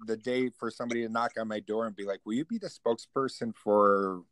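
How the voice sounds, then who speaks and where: A man's voice: lowish voice, quick pace, a bit bright, no echo. A man appearing as a guest on a podcast, chatting in an easygoing, agreeable way. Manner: easygoing, agreeable, laughs along. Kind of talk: casual podcast chat.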